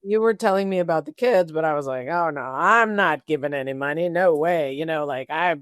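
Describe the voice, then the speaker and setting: A woman's voice: sits low, fairly quick, clean tone, no echo, clean studio sound. A woman hosting a casual interview, talking informally and thinking aloud as she goes. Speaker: interview show host.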